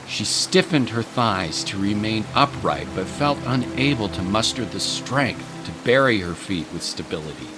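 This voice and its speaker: A man narrating a dramatic scripted story. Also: lowish voice. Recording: music and rain sounds behind.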